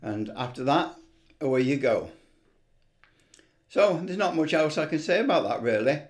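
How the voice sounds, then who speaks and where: A man's voice: a little high, lively intonation, moderate pace. A man giving a casual, unscripted screen tutorial, rambling a little and muttering to himself as he works. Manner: unscripted, rambling, talks to himself while working. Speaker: amateur video presenter, CB radio hobbyist.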